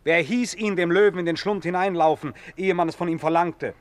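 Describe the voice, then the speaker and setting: A man acting in a radio play as a callous, excitable lion keeper who complains in a colloquial way. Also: high-pitched, brisk, no echo, natural voice.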